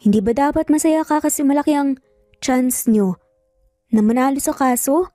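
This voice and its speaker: A woman voicing a cheerful, nosy older sister in an audio story, asking animated, encouraging questions. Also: high-pitched, normal speed, dry recording, clean studio sound, soft background music, lightly acted. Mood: puzzled, curious.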